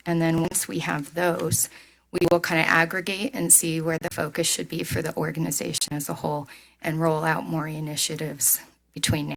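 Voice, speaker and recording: A woman presenting a staff report at a board meeting in a steady, businesslike way. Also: sits low, gravelly, poor audio.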